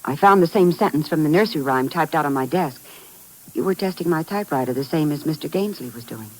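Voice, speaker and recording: An actress playing a polite, alert office secretary in a 1950s radio thriller, speaking in a proper, earnest, precise way. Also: female voice, sits low, expressive, fast talker, a little mellow. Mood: earnest, curious. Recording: noisy old radio recording.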